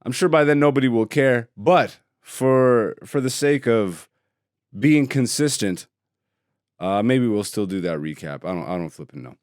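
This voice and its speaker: A man's voice: mid-range voice, animated, a little slow, darkish tone, no room sound. Laid-back, joking man with a dry close sound. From Greece. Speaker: podcast host. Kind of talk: casual solo podcast chat.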